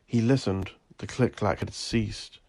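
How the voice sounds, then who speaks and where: A man with a low, creaky voice reads scripted dark fiction. His delivery is deliberate and literary, staying within a narrow pitch range. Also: steady tone. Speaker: narrator.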